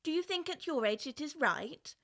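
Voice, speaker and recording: A woman's voice: high-pitched, animated, moderate pace, creaky, fairly bright tone, exaggerated voice. A woman playing a polite, timid and puzzled little girl, hesitant and easily flustered, in a full-cast audiobook. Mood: reciting.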